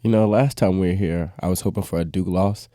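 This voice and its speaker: A man's voice: low-pitched, fairly even intonation, fairly quick, mellow, no room sound, clean studio sound. A man giving casual sports commentary as a panelist on a podcast.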